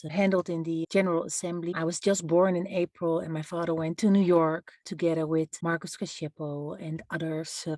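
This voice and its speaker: Low-voiced woman, measured and hesitant. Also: darkish tone, no echo. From Netherlands. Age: 50s. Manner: measured, thoughtful, with frequent hesitations. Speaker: human rights lawyer. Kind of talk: interview answers.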